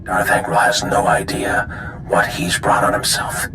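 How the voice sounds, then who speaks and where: A man playing a grave, commanding general with a gravelly voice and terse authority in a game cutscene. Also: low voice, a little slow, background noise. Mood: somber. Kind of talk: video game cutscene acting.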